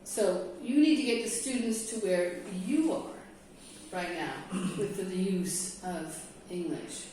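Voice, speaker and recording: A woman's voice: lowish voice, slow pace, warm tone, slight background noise. American woman teaching, in a roomy echo. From California. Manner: checks in with the group, chatty and conversational. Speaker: English teacher trainer. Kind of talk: classroom discussion. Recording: roomy echo, mic from a distance.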